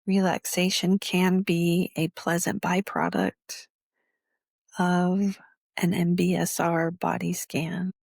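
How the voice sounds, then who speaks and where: Slow, husky woman guiding meditation. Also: fairly low pitch, flat delivery, a little mellow, no echo, studio quality. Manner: measured, instructional, with long pauses between phrases. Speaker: meditation teacher. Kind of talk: guided meditation instruction.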